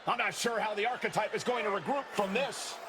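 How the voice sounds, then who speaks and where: A man's voice: high voice, brisk, noisy background, close to an everyday voice. A male actor playing an urgent pro wrestling play-by-play announcer in a video game, dramatic in his calls. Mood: grave. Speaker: sports commentator.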